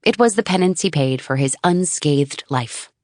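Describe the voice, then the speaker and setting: A woman narrates a novel in the first person. Her voice sits low for a woman. The sound is dry, with no room around it. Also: lively intonation, normal speed, a little mellow, dry recording. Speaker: audiobook narrator.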